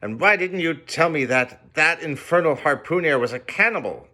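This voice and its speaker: A man voicing a startled young male sailor who speaks loudly and urgently. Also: mid-range voice, sing-song, a little slow, exaggerated voice. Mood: indignant. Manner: loud, urgent pleading.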